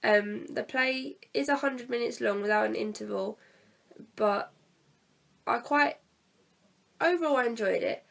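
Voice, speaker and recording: A teenage girl with a croaky voice from a cold, chatting casually and hesitantly to camera as a vlogger, pausing often to fill gaps. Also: on the high side, fairly bright tone. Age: teens. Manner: chatty, hesitant, many fillers. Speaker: vlogger reviewing a theatre play. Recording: distant from the mic.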